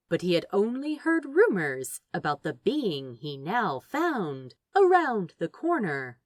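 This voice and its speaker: Very expressive, unhurried woman narrating. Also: mid-range voice, no room sound, studio quality. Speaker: audiobook-style narrator of fan fiction. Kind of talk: scripted story narration.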